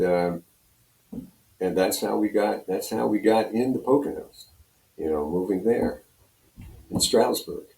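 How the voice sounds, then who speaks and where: A mature American man with a very low, soft-edged voice tells stories in a loose, meandering, conversational style. He sounds some distance from the microphone in an echoey room. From Pennsylvania, US. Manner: rambling, conversational, repeats you know. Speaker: radio program director and personality, interview guest. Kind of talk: casual storytelling in interview. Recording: roomy echo, distant from mic.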